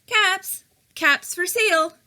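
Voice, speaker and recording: A woman's voice: extremely high pitch, slow pace, pushed and bright, voice pushed up, heavily acted. A woman voicing a male storybook peddler who calls out and scolds with sing-song, emphatic repetition. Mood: calling out. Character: male cap peddler, calling wares, scolding, increasingly exasperated.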